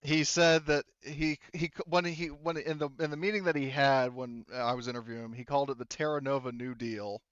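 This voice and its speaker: A man narrates a tabletop roleplaying game as game master. His voice is smooth and mid-pitched, and he talks in a halting, rambling way. The recording is dry and a little muffled. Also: level tone, a bit bright, no room sound. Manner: halting, rambling, self-correcting.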